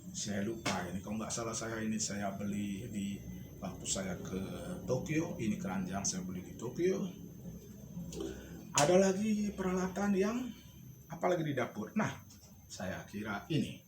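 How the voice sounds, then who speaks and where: A man's voice: fairly low pitch, animated, moderate pace. A man giving an informal storytelling tutorial, instructive and thinking aloud as he shows objects. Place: indoor home studio. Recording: echoey room with clear background noise.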